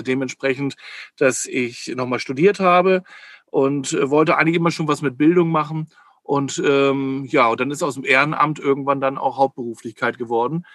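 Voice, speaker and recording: A man from Hamburg, a podcast guest who talks hesitantly and fills his pauses with many "äh" sounds. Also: fairly high pitch, a bit bright, no room sound, clean studio sound. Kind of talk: casual chat.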